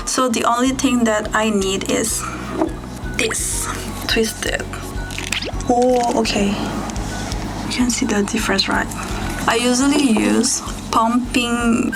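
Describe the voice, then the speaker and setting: Young woman, from Indonesia, earnest, slightly high voice, slow, music behind. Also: fairly even intonation, poor audio. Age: young adult. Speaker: student vlogger. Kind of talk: casual skincare vlog.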